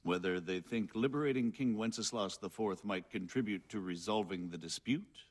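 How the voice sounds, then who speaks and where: An actor playing a shrewd, diplomatic medieval margrave in a game cutscene, with formal, deliberate speech. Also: male voice, on the low side, close to an everyday voice. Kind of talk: video game cutscene acting.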